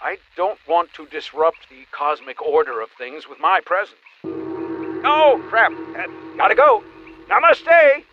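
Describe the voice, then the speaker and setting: A man's voice: a little high, animated, takes it slowly, a little hiss or hum. A man playing a flaky, evasive spiritual boss in a comedy audio drama, earnest and breezy, heard through a phone filter. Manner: breezy, new-age earnest. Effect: phone call filter. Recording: dull band-limited phone call.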